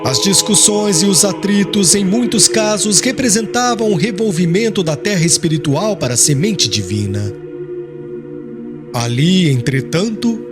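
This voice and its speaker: A man with a Brazilian accent reads a literary audiobook in a solemn, expressive storytelling manner. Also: high voice. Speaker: audiobook narrator. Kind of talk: scripted literary narration. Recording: music under the voice. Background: background music.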